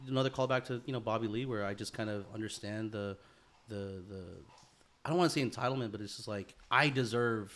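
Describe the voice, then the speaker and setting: A man's voice: lowish voice, fairly quick, fairly bright tone. A man co-hosting a podcast in casual chat, peppering his talk with profanity and "bro" slang. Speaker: podcast co-host.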